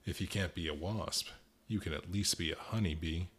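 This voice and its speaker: Man voicing a snarling insect-like phantom, foul-mouthed, low husky growl. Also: moderate pace, a bit bright. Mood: menacing. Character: menacing winged insect-like male apparition.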